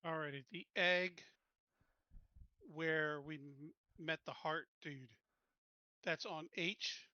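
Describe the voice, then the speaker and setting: A man with a slightly high, very sharp and forceful voice plans moves in a casual tabletop game. He is terse and matter-of-fact. The recording is close and dry, a touch muffled. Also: fairly even intonation, takes it slowly, long pauses, no echo. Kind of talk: casual game planning talk.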